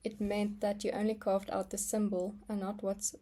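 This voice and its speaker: A woman's voice: medium pitch, level tone, moderate pace, mellow. A young woman whose first language is Afrikaans, rambling casually about her art in a vlog while stamping and sketching at her desk, with frequent ums and small laughs. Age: young adult. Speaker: artist vlogger.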